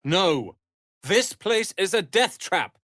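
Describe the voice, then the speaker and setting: A man voicing a proud, sharp-tongued young tiefling wizard's apprentice in a video game, with haughty, theatrical, biting delivery. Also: high voice, sing-song, takes it slowly, no echo, studio quality, heavily acted. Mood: angry, indignant. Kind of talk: dramatic video game voice acting.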